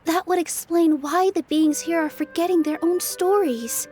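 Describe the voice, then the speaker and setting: A woman voicing a gentle, earnest young forest fairy for a video game, soft and sweet in tone. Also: very high-pitched, steady tone, moderate pace, faint music behind. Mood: thoughtful, surprised.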